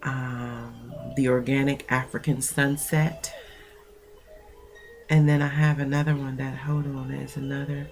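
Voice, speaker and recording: A woman's voice: extremely low pitch, little pitch movement, unhurried, darkish tone, soft background music. A woman mentoring coach with a stuffy nose, talking in a chatty, rambling way with many fillers and reading aloud from her own notes. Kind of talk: casual talk and reading aloud.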